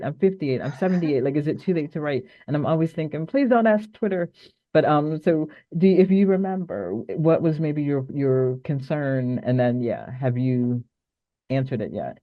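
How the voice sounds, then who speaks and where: A man hosting an online open day, asking casual, roundabout questions in an encouraging manner. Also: mid-range voice. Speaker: academic host asking questions. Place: video call. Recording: thin phone-like online call.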